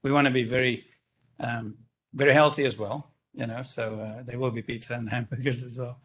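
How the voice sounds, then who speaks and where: A man's voice: medium pitch, fairly even intonation, brisk, fairly bright tone. A man with a South African accent, speaking enthusiastically and at length at a microphone during a council meeting. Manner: enthusiastic, expansive, self-deprecating. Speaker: business owner presenting a project. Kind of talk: public comment at a council meeting. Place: council chamber. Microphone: speaking at a stand-up microphone.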